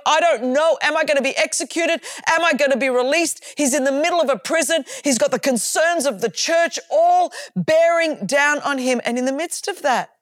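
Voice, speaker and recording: Australian woman preacher, high-pitched, emphatic and chatty, dry close sound. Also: dry recording. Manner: animated and emphatic, with conversational asides and repeated words. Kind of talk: sermon.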